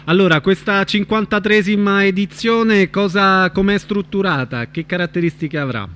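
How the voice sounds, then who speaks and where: A male radio host with a voice a bit higher than most men's. He interviews in a conversational, friendly and informal manner. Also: moderate pace, a bit bright, clear, resonant tone. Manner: informal, friendly, frequent backchannel agreement.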